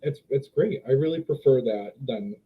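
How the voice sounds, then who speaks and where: A man's voice: mid-range voice, normal speed. A man in his twenties, a dog trainer guest on a casual podcast, who talks expressively but often hesitates to find his words. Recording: slightly dull lo-fi sound.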